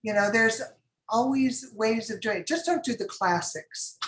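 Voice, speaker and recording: A woman's voice: on the high side, expressive, unhurried, fairly bright tone, reverberant. A woman casting director advising actors in a class, chatty and rambling with many fillers.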